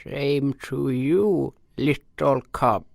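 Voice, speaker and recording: A man's voice: medium pitch, drawn-out words, creaky, dry recording, pitched above the performer's own voice, full character voice. A man voicing a small, kindly old frog-like hermit healer who speaks in short phrases of broken grammar, in a fantasy audio story. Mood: calm, matter-of-fact.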